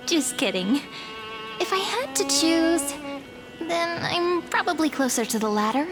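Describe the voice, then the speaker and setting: A woman playing a sweet, playful young companion in a video game, with a gentle, musing, teasing manner. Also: high-pitched. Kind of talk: scripted game dialogue, anime-style.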